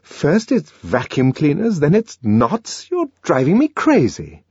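An impatient young woman in a storybook reading, exasperated with her lover, as a man puts her on. She bursts out sharply and indignantly in a raised, swooping voice. The sound is dull and dry, with a slightly husky edge. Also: on the high side, wildly swooping pitch, unhurried, darkish tone, no echo, pitched above the performer's own voice, exaggerated voice.

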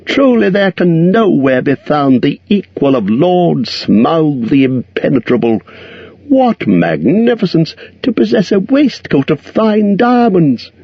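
A nervous little hobbit with a high squeak, fawning and flattering, as a man puts him on. The pitch leaps around a lot in a dull lo-fi recording. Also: slow, breathy edge, dry recording, exaggerated voice. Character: timid small male hobbit, flattering and nervous. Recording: dull lo-fi sound.

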